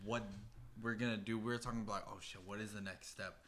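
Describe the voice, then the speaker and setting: Bright-voiced young man chatting casually. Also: on the low side, flat delivery, fast, slight background noise. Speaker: podcast co-host. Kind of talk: casual podcast chat.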